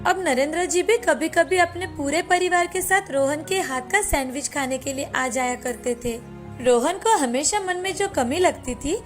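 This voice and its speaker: Female narrator for scripted moral stories, distinctly high voice, soft music bed underneath. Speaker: storyteller, narrator. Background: faint background music.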